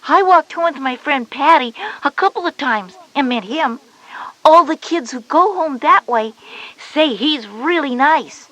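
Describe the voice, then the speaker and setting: A woman's voice: high voice, animated, a little slow, fairly bright tone, poor audio. A woman voicing a knowing, patient schoolgirl big sister who explains things in a children's educational story. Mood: patient, instructive. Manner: explanatory, instructive. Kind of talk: scripted children's educational cassette dialogue.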